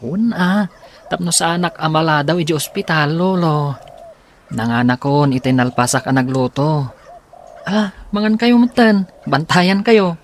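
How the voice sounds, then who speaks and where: A caring young relative with a fairly high male voice, in a radio drama. He coaxes gently and patiently over faint background noise. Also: unhurried. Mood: caring, coaxing. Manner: patient, coaxing. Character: caring grandchild coaxing an elderly grandfather. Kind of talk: Ilocano radio drama acting.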